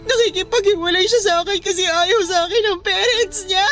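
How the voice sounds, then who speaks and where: Tearful, high-pitched heartbroken young woman. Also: brisk, fairly bright tone. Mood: tearful, anguished. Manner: tearful, pleading, whiny. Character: heartbroken young woman crying over a breakup. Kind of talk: Filipino radio drama acting.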